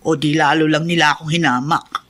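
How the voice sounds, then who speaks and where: A woman's voice: sits low, fairly even intonation, a little fast, fairly bright tone. A woman playing a bitter, drunken middle-aged mother in a Filipino radio drama, with a slurred, theatrical drawl. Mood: scornful, defiant.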